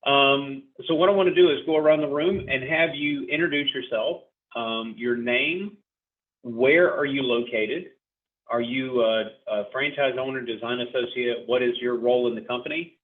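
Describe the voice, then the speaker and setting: A man's voice: mid-range voice, steady tone, moderate pace. A man hosting a sales training class over a video call, upbeat and chatty as he calls on each participant. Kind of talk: casual group video call facilitation. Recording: tinny phone-like audio.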